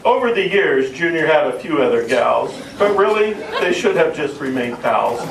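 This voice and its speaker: A man's voice: a little high, sing-song, moderate pace, gravelly. A middle-aged man speaking informally and reading humorous verse to a family gathering, with a joking, irreverent manner. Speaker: family speaker introducing and reading a tribute poem. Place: room at a family gathering, audience behind. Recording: roomy, distant, noisy crowd recording.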